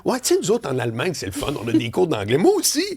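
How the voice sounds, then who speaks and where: A Quebec man with a gravelly, slightly husky voice and a lively pitch that swings up and down. He is a middle-aged radio talk host who tells stories with lots of animation. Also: fast talker. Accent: Quebec French. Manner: storytelling, laughing, swinging pitch. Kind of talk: animated editorial chat. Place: radio studio.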